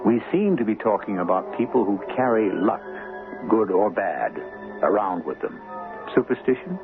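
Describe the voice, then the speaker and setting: Low-voiced theatrical mystery host. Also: male voice, sing-song, moderate pace. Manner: rhetorical, theatrical. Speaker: host and narrator of a radio mystery series. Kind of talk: dramatic scripted narration. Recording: narrow old radio broadcast over music.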